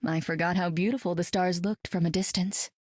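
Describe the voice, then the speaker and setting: A woman playing a gentle psychic heroine. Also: fairly low pitch, fairly even intonation, fairly quick, no echo, clean studio sound, lightly acted. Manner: gentle, earnest.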